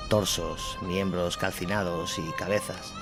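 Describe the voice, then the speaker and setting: Male narrator, very low voice, scripted dramatic war narration, clear music bed behind. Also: fairly even intonation, unhurried.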